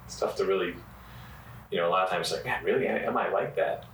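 A man's voice: sits low, brisk, fairly bright tone. A man guesting on a podcast, casual and chatty, with a nasal, froggy voice and unfinished sentences. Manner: talks in unfinished sentences with many fillers. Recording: roomy, distant mic, faint noise.

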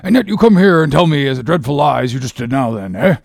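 A man voicing a bossy, mocking sailor officer in an audiobook, animated and needling, with a gravelly rasp. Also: mid-range voice, quick pace, no room sound, clean studio sound, pitched above the performer's own voice. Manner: animated, needling, mock-solemn interrogation. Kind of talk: audiobook character reading.

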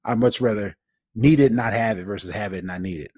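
A man's voice: on the low side, a little fast, darkish tone, dry recording, clean studio sound. A man co-hosting a tech podcast in casual chat, explaining things informally. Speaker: podcast co-host.

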